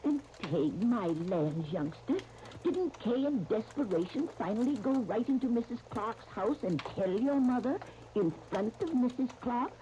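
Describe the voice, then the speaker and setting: A woman's voice: on the high side, animated, unhurried, mellow, a little hiss or hum. An actress playing a firm, scolding grandmother in a 1930s radio serial, on a muffled old disc. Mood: admonishing.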